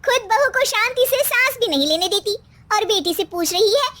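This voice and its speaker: A woman voicing a cheeky young daughter-in-law with a sassy manner in an animated story. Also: extremely high pitch, moderate pace, pitched above the performer's own voice, heavily acted. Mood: mocking.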